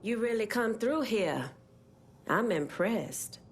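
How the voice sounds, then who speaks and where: A woman's voice: on the high side, animated, a little hiss or hum. A solemn, impassioned resistance leader woman. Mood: measured, challenging. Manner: solemn, measured, persuasive. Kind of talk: dramatic video game cutscene acting.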